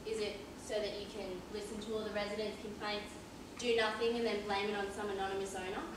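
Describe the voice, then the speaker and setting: A woman's voice: medium pitch, level tone, fast, close to an everyday voice. A woman acting a pompous, condescending male police inspector who interrogates people in an amateur stage play. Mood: probing, accusing. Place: large theatre stage, indoors. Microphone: far audience camera. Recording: distant echoey theatre stage, noisy.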